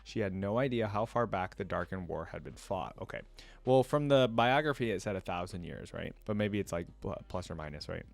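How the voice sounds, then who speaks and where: Low-pitched man musing in a dry space. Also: expressive, brisk, gravelly, no room sound. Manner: musing, thinking out loud. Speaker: host of a reaction video. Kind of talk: reading lore aloud with casual off-the-cuff commentary.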